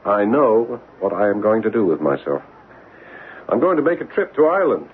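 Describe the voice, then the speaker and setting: An actor playing an earnest, curious American writer who also narrates, in old-time radio drama style. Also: male voice, on the low side, huge pitch swings, moderate pace. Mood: determined. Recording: tinny, noisy old radio broadcast.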